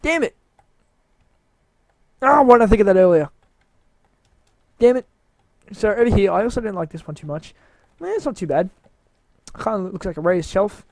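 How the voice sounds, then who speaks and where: Tired man in a dry booth, high voice, hesitant rambling tour of his build, pokes fun at himself. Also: animated, moderate pace, pauses often, dry recording. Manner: rambling, hesitant, self-mocking. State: tired, voice wearing out. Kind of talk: casual rambling walkthrough commentary.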